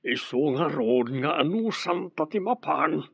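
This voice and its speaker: A man's voice: fairly high pitch, expressive, measured pace, gravelly, a bit bright, slightly rough, dry recording, full character voice. An actor playing a confident, insistent young man in a radio drama, assertive and colloquial. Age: young adult.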